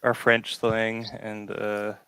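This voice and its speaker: A man with a smooth, resonant voice and a dry, close sound, chatting loosely as a band guest. He laughs a lot and stumbles through fillers as he talks. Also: lowish voice, monotone, fairly bright tone, dry recording. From grew up on a reservation near the Canadian border. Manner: laughs often, halting with fillers. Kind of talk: casual group chat.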